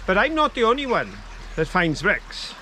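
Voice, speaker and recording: An older man with a Welsh accent talks to the camera like a showman, pitched high and swinging widely up and down. He is outdoors by a flowing stream, the sound of the water and open air around him. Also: sing-song, moderate pace, pushed and bright, a little hiss or hum. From Wales. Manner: playful, showman-like presenting to viewers. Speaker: vlog co-host. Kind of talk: chatty outdoor vlog presenting. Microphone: camera held close.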